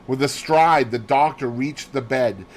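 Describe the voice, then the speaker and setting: A man reading a classic mystery novel aloud as a narrator, in an expressive, theatrical manner. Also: mid-range voice, unhurried. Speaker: audiobook narrator.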